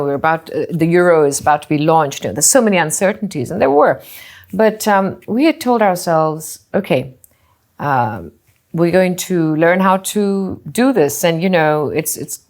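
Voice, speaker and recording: Low-voiced woman from Singapore, musing. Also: a little mellow. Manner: thoughtful, with frequent "you know" and restarts. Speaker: hotel group co-founder and CEO. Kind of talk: conversational interview answers.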